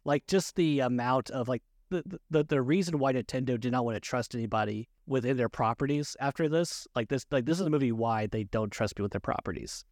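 A man's voice: mid-range voice, fairly quick, hardly any pauses, darkish tone, no echo. Casual chatty man, dry close sound. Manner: chuckles often while talking. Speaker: podcast co-host. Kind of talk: casual chat about films.

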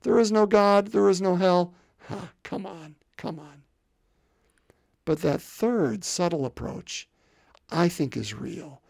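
A man in his fifties gives a sermon, with heavy gravel in his fairly high voice. He speaks conversationally, often repeats words and keeps asking the congregation rhetorical questions. The recording is close and dry. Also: slow, darkish tone, dry recording. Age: 50s. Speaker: pastor.

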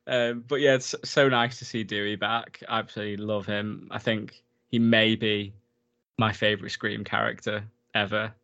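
A man's voice: fairly low pitch, level tone, measured pace, fairly bright tone, highs cut off. A man in a casual film recap chat, enthusiastic and talkative, laughing often as he goes. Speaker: podcast co-host.

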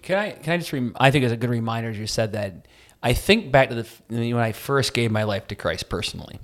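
A man with a Wisconsin accent co-hosting a faith podcast, talking in an earnest, encouraging way and often restarting his phrases. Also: mid-range voice. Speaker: podcast co-host. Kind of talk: casual faith podcast chat.

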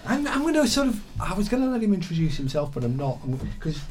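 A man's voice: high voice, very animated, quick pace, darkish tone. Guy hosting local radio in a small studio, kinda flustered, laughy. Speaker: radio host. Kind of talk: casual live radio chat. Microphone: studio microphone.

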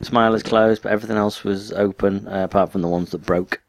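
A man's voice: on the low side, fairly even intonation, fast, vocal fry. A man with a northern English accent, co-hosting a casual podcast chat in a rambling, tired, self-deprecating way. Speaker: podcast co-host.

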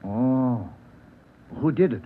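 An actor playing a cagey, brash, streetwise union steward with clipped colloquial talk in an old radio drama. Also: male voice, medium pitch, animated, slow, narrow, tinny audio. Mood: wary, evasive. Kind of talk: 1940s-50s radio drama acting. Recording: thin, noisy vintage radio recording.

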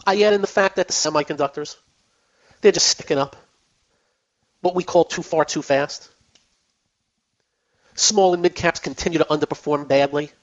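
A man's voice: a little high, moderate pace, long pauses. A man hosting a finance radio show, losing his voice, talking casually with fillers and repetition. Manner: chatty, repeats himself, many fillers. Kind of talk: casual radio market talk. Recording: dull lo-fi broadcast sound.

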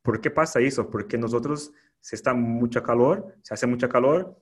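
A man's voice: medium pitch, moderate pace, slightly rough. Brazilian-sounding man hosting a chatty podcast. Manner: mixes Portuguese words into Spanish, many restarts. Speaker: podcast host. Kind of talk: casual interview chat.

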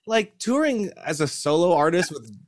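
A man's voice: fairly high pitch, very animated, normal speed, no room sound, clean studio sound. A man chatting casually in a slangy, exclamatory way. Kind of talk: casual chat.

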